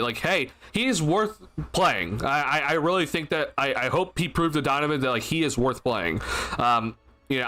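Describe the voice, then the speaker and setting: A man's voice: mid-range voice, sharp tone. A tired, slightly stuffy young man hosting a casual postgame livestream, rambling with lots of fillers and restarts into a desk mic. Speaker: sports livestream host. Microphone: desk mic at a streaming setup.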